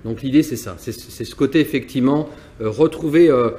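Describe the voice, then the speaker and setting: Brief: male company owner from Nantes, halting and reflective, mid-pitched. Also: mellow. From France, Nantes. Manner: hesitant, many restarts and repeated words. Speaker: business owner. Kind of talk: interview answers, personal reflection.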